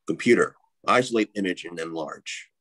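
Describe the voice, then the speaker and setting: A man acts a brooding superhero from an animated film script. The voice is deep and raspy, with short, halting, grave phrases. It sounds dry, with no room around it. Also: no echo. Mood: commanding. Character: brooding, troubled adult male superhero, grim and terse.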